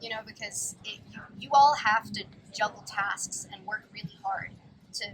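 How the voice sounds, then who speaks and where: A woman's voice: mid-range voice, moderate pace, a bit bright, slight background noise, rough recording. A woman in a band giving earnest answers during an outdoor festival interview, with frequent fillers. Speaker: band member. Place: outdoor music festival grounds.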